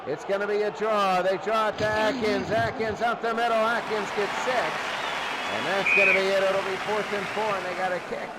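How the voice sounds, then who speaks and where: A man's voice: sits high, fairly even intonation, moderate pace. A man doing live play-by-play football commentary and recaps in short, clipped, repetitive phrases. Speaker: play-by-play announcer. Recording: noisy, crowd roaring behind the voice. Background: loud stadium crowd noise.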